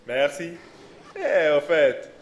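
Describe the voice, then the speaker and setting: A man's voice: fairly high pitch, big pitch swings, slow pace, slight background noise. A man voicing a casual, ribbing office coworker in an amateur audio drama. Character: teasing male office coworker. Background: music.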